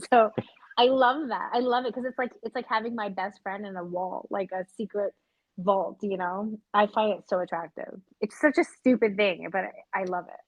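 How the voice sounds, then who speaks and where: A woman co-hosting a podcast in casual chat, with a loud, high-pitched voice, talking openly, swearing casually and laughing often. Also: moderate pace, dry recording, dull audio. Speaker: podcast co-host.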